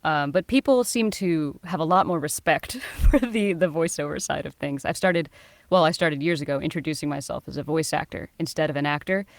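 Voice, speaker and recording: Low-pitched woman, dry close sound. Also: brisk, dry recording. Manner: bright, laughing, animated answers. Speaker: guest voice actor. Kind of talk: casual interview chat.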